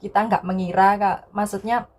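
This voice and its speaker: A young adult woman from Indonesia telling a personal story to viewers in a casual vlog style, often repeating herself and tagging sentences with a soft filler word. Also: mid-range voice, fairly even intonation, normal speed.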